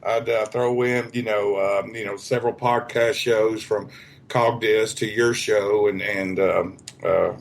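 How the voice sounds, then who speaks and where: Southern man, co-host, lowish voice, halting, teasing. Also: steady tone, gravelly. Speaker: podcast co-host. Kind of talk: casual podcast interview.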